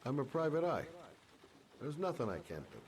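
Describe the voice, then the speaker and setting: A man playing a gruff but kindly middle-aged private eye in a video game, gently reassuring as he talks. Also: medium pitch, big pitch swings, normal speed, slight background noise. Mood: calm reassurance. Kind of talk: video game cutscene acting.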